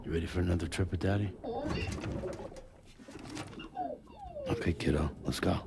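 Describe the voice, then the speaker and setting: An actor playing a gruff, laconic adventurer and father in a video game, speaking in a terse, casual way. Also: deep voice, very fast, background noise. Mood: tender. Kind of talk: video game cutscene acting.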